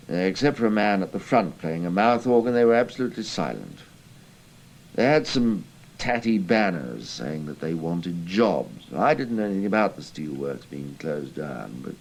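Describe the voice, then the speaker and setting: A man's voice: sits low, darkish tone, full character voice. An actor playing an idealistic, indignant young Cambridge man in a radio drama, earnest and hesitant, with an English accent. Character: idealistic, indignant young British Cambridge student turned spy.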